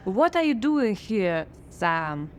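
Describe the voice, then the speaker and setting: A skeptical, frazzled writer, acted by a woman in a radio drama. She talks in a dry, sardonic way at a middling pitch. A faint noise hums behind her. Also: very animated, slow pace. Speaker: scriptwriter.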